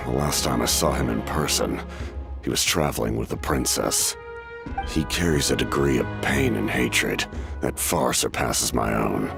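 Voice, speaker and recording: An ancient cursed warrior captain from a video game. His voice is extremely low and thick with gravel. He speaks formally and gravely, solemn and haunted, with music underneath. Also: unhurried, heavily acted. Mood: somber, weary. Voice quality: very deep and gravelly. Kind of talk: video game character acting.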